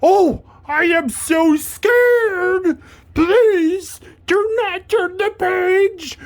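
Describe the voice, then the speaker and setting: A man voicing a lovable, easily frightened furry monster in a children's storybook puppet show, with a raspy voice and melodramatic delivery. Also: very high-pitched, full character voice. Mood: terrified, begging. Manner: melodramatic, drawn-out, emphatic delivery without contractions.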